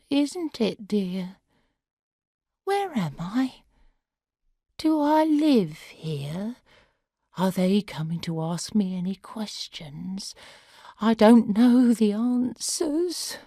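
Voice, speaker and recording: A woman's voice: deep voice, sing-song, gravelly, no room sound, studio quality, full character voice. Woman putting on a confused old lady. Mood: distressed. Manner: slow, wandering, easily upset. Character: confused elderly woman, forgetful and fretful.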